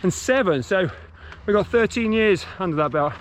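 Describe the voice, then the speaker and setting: A man's voice: high voice, animated, normal speed, a little hiss or hum. A man with an East Midlands English accent hosting a casual, encouraging chat while running outdoors on a park trail. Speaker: host interviewing a club runner.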